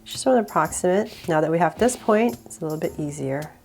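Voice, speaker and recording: A woman with a cold guiding viewers step by step through a drawing, relaxed and laughing a little at her own slips. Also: deep voice, fast talker. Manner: relaxed, with small laughs and self-corrections. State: sick, voice a bit off. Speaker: drawing tutorial host.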